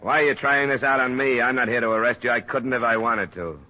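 An actor playing a hard-boiled, level-headed investigator in a 1950s radio drama, terse, firm and dry. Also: male voice, mid-range voice, fairly even intonation, quick pace, natural voice. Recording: muffled, noisy old radio recording.

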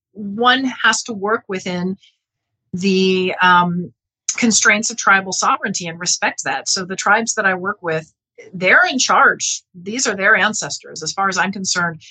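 Bright-voiced woman, earnest interview guest. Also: mid-range voice, husky, low-quality recording. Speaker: guest professor and researcher. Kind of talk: conversational interview, explaining own research.

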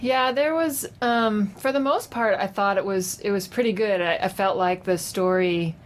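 A woman chatting casually and unscripted as a guest giving a film review, with a hesitant, halting delivery. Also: mid-range voice. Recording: noticeable background noise.

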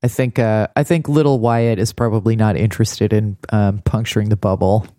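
A woman's voice: very deep voice, steady tone, a little fast, mellow, no echo. A woman co-hosting a casual TV recap podcast with a dry, blunt, sardonic delivery. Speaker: podcast co-host.

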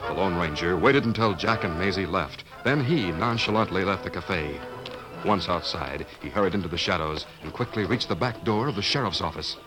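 A man with a very low voice reads dramatic western narration in the manner of 1950s radio. Music plays underneath his scripted storytelling. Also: expressive, a little fast, poor audio.

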